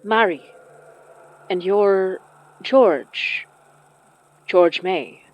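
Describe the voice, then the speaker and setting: A woman playing a steady, protective young spell caster in an audio horror drama, with casual, natural delivery. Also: medium pitch, very slow. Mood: guarded. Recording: dry, slightly muffled studio.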